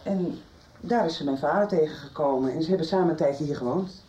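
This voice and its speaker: An actress plays a young woman in a radio drama, calmly laying out her family history. Her voice is lowish and mellow and sounds a little roomy. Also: fast talker, close to an everyday voice. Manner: calm, matter-of-fact explaining. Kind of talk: 1990s radio drama acting. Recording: roomy echo.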